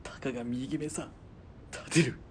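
An actor playing a tough, stubborn wounded sniper in a radio drama, speaking roughly through gritted pain. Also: male voice, medium pitch, fairly even intonation, measured pace, slight background noise, lightly acted. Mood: pained, defiant. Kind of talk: Japanese radio drama acting.

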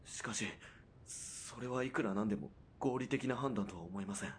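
A man's voice: on the low side, normal speed, a little hiss or hum, close to an everyday voice. A man playing a principled, dutiful military police sergeant in a period radio drama, speaking formally, with earnest restraint. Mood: uneasy, restrained.

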